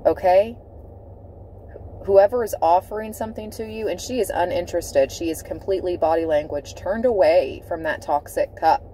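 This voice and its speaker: A woman reads tarot cards from her car, her voice low and mellow. She talks in an unhurried, deliberate way, leaning on her words and repeating them for weight. A faint hum of the car cabin sits behind her. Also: low-quality recording. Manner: slow, dramatic, emphatic delivery with repeated phrases. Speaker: tarot reader. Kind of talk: tarot card reading to camera. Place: inside a parked car.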